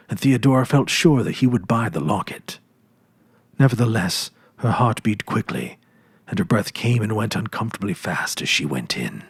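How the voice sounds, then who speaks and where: A man narrating a classic story as a measured, dramatic reading. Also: fairly low pitch, breathy edge, dry recording.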